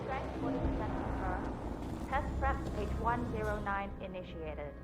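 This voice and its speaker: An actress playing a clinical, matter-of-fact lab assistant giving formal status reports in a video game. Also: female voice, medium pitch, lively intonation, unhurried, heavy background noise, natural voice.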